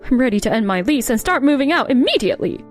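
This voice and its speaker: A worried female tenant, acted by a woman in a manga dub, with a highish, very expressive voice. She complains like someone scared and fed up, with music underneath. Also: fast talker. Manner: worried, complaining, folksy wording. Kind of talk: scripted manga dub acting.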